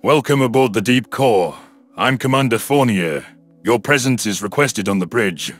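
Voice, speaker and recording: A man voicing a gruff, throaty human submarine commander, hearty and confident, in a sci-fi audio drama. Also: medium pitch, expressive, moderate pace, faint music behind, lightly acted. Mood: brisk, welcoming. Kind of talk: audio drama character dialogue.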